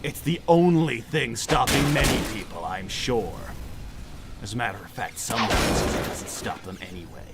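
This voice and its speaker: Loud music sits under a stern, protective cartoon hedgehog dad, acted by a man. He speaks at a middling pitch, insistent and clipped, in improvised live roleplay. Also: normal speed, vocal fry, natural voice. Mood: serious. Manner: insistent, clipped, indignant when crossed. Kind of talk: improvised in-character cartoon roleplay, reading donation messages aloud.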